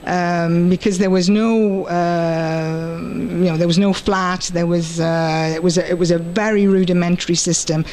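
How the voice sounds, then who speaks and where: A middle-aged British woman translator, speaking thoughtfully in an interview and pausing often with fillers. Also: fairly low pitch, steady tone, darkish tone. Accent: British English. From United Kingdom. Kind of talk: conference interview and personal story.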